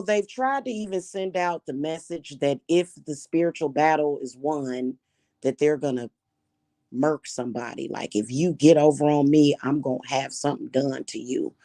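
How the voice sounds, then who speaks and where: Woman, Missouri accent, low voice, blunt and mocking, dry close sound. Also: measured pace, no echo. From Kansas City, Missouri. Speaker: spiritual practitioner and channel host. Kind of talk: casual monologue to viewers.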